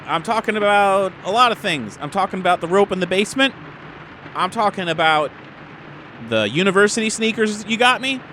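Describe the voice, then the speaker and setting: Man acting a high-pitched, defensive young son. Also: expressive, sharp tone, a little hiss or hum. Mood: accusing, defiant. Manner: halting, stammering, defensive. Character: defensive young man, aspiring actor, pleading with his father.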